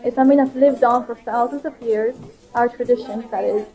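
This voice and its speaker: A woman's voice: fairly high pitch, steady tone, brisk, warm tone. A woman who grew up in Sweden, an interview guest and food project founder, explaining her ideas earnestly in simple steps. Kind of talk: casual interview conversation.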